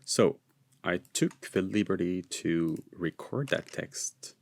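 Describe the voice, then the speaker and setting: A man's voice: low voice, expressive, takes it slowly, vocal fry, darkish tone. A man who speaks English as a non-native with an American leaning, narrating a screencast tutorial in an informal, explanatory way. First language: not English. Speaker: software tutorial presenter.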